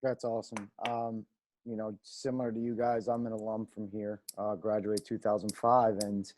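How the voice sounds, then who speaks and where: A man in his thirties hosting a panel, hesitant and halting, with many "uh" and "um". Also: medium pitch, mellow. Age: 30s. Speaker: host.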